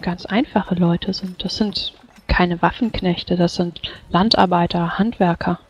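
A woman's voice: lowish voice, fairly even intonation, measured pace, slightly rough. A woman playing a brusque, pragmatic member of an order in a tabletop roleplay, blunt and matter-of-fact. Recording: slightly dull voice-chat sound, music behind.

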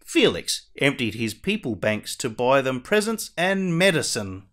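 A man playing a costumed superhero who reads a children's storybook aloud in a theatrical, deliberate way. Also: mid-range voice, very animated, fairly bright tone. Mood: animated storytelling. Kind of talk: animated picture-book read-aloud.

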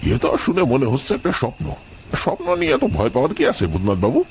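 A man voicing a sceptical, dismissive village boatman in an audio story, brisk and scoffing. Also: medium pitch, huge pitch swings, gravelly, a little hiss or hum, pitched above the performer's own voice. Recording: music under the voice.

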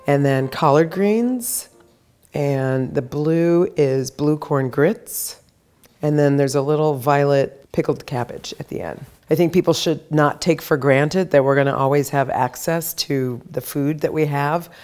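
A woman's voice: low-pitched, a little slow, darkish tone, faint music behind. A woman chef cheerfully describing her dish in a documentary interview.

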